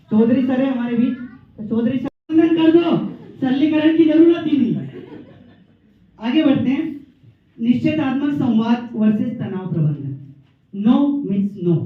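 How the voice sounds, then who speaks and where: Male, very high voice, playful mock lecturer doing comic speech, recorded far off in an echoey room. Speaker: training participant performing a humorous recap. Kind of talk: stand-up style humorous speech to colleagues. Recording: distant, echoing hall recording, slightly muffled.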